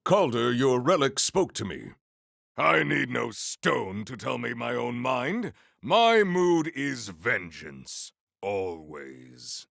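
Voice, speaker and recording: Proud gravelly warrior, slow and grandiose. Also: male voice, medium pitch, very animated, fairly bright tone, dry recording, clean studio sound, exaggerated voice. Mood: stern, menacing. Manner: formal, grandiose, theatrical declarations. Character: proud, stern warrior man, formal and grandiose battle hero. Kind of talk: video game character voice lines.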